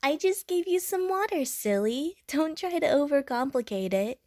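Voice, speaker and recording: A woman's voice: high-pitched, expressive, normal speed, dark tone, no echo, studio quality. A woman playing an innocent young water slime creature in an audio roleplay, with a childlike, playful, gushing manner. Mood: gentle teasing. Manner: childlike, playful, gushing, punctuated with little bloop noises. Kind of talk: audio roleplay addressed to the listener.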